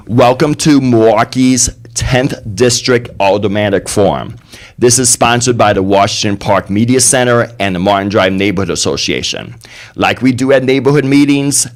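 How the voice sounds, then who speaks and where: A man moderating a neighborhood candidate forum, introducing himself and explaining the format in a plain, organized way. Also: on the low side. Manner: plain, organized, with occasional fillers. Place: community media center room.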